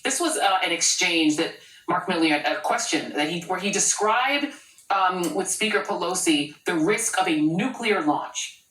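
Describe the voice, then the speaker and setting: A woman's voice: on the low side, lively intonation, moderate pace, a bit bright. A woman anchoring a cable news show, delivering pointed, sarcastic commentary. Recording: roomy sound from a distant mic.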